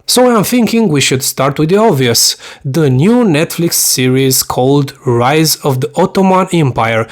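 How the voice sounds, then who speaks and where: A man's voice: medium pitch, a little mellow. A man from Romania with a Romanian accent, speaking English as a second language as he narrates a scripted review in an earnest, explanatory way. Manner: earnest, explanatory, slightly formal phrasing. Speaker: YouTube host, mythology teacher.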